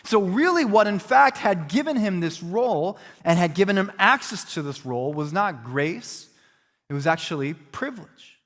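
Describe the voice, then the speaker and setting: A man's voice: high-pitched, sing-song, moderate pace, a bit bright, in a large hall. A man preaching as a pastor, earnest and reflective, talking through a hard question in a conversational way. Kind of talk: sermon.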